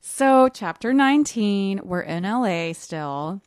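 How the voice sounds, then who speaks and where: A woman's voice: medium pitch, lively intonation, slow pace, a little mellow, clear, resonant tone, dry recording, studio quality. A woman co-hosting a podcast, recapping a book in an informal, playful chat. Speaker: podcast co-host.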